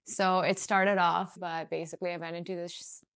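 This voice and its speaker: Young Canadian actress, candid storyteller, lowish voice, close and dry. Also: female voice, flat delivery, normal speed, a bit bright, clear, resonant tone, no echo. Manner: candid storytelling with quoted dialogue. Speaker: actor. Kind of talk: personal testimony.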